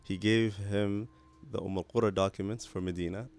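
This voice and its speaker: Low, gravelly-voiced man from Canada. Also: fairly even intonation, normal speed, a little mellow. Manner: hesitant, many restarts, sprinkles Arabic religious phrases. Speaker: podcast guest. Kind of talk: casual conversational interview.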